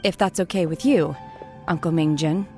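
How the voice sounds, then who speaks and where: Cool, lower-voiced solemn young woman. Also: steady tone, moderate pace, a little mellow. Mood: solemn, reserved. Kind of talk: video game voice acting. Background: game music.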